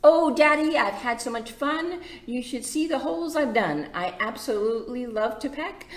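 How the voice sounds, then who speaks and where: A woman's voice: high-pitched, animated, moderate pace, voice pushed up. A woman voicing an eager, playful young woodpecker in a bouncy, singsong picture-book read-aloud. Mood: happy, tired. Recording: echoey room with background noise.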